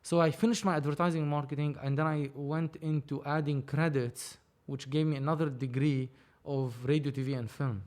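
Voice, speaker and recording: A man, a podcast guest telling his story in a casual, animated, rambling way with many restarts. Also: fairly high pitch, fairly quick, hardly any pauses. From Lebanon. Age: 30s. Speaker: film producer, podcast guest.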